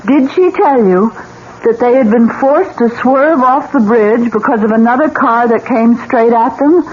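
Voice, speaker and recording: An actress playing a concerned, earnest wife who questions insistently in a 1970s radio drama. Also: female voice, on the high side, a little slow, a little mellow, close to an everyday voice. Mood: anxious, pressing. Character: concerned wife, polite but persistently questioning. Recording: dull, noisy old radio broadcast.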